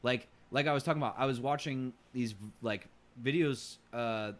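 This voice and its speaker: A man talks casually as a podcast co-host, in a voice of average pitch. His delivery is halting, and he often repeats the start of a phrase before carrying on.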